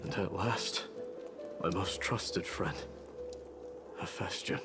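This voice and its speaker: Game role: grave warrior prince, very low voice, solemn storytelling over music. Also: male voice, slow pace. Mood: solemn grief. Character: grave warrior prince recounting his fallen comrades. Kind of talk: dramatic game voice acting.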